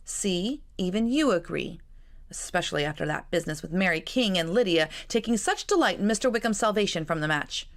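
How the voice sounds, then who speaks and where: Woman narrator playing protective young Regency sister, storybook, commanding and formal, lowish voice, vocal fry. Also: animated, fairly quick. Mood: firm, resolved. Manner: firm, insistent, formal.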